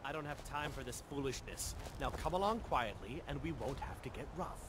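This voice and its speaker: A stern fantasy thug, acted by a man for a video game. He is curt and commanding, at an average male pitch. Ambient noise is clearly heard behind him. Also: lively intonation, a little fast, lightly acted. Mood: threatening. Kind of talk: video game character acting.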